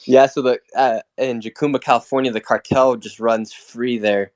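A man's voice: medium pitch, steady tone, normal speed. A young male independent reporter, a guest in a live interview, speaking in a plain, casual, earnest way.

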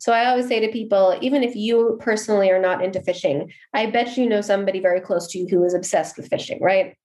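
A woman's voice: medium pitch, fairly even intonation, fast, a little mellow. American woman explaining with easy confidence. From Seattle, USA. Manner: friendly, explanatory, occasional restarts. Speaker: guest, marketing executive. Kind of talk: casual interview answers.

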